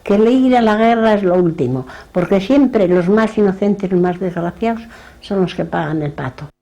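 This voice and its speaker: A woman's voice: mid-range voice, moderate pace, dark tone. An older woman giving her opinion in plain, colloquial words. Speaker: interviewee. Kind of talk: plain-spoken personal opinion.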